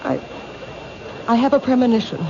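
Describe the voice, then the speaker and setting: A woman acting in a radio mystery as a calm but fearful bookshop owner, speaking hesitantly and with composure. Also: sits high, moderate pace, vocal fry. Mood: calm, uneasy. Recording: muffled, noisy old radio recording.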